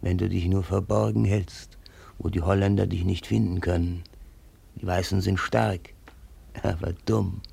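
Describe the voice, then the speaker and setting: An actor playing a shrewd, deferential old Malay statesman in a radio drama, speaking with measured persuasion and a creaky voice. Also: low-pitched, fairly even intonation, warm tone, heavily acted. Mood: cunning, persuasive. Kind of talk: German radio drama acting.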